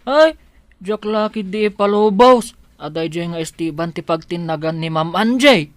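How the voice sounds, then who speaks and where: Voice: mid-range voice, normal speed, no room sound, natural voice. A performer playing a humble, bashful young male gardener, hesitant and devoted, in an Ilocano audio drama. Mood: flustered, hopeful.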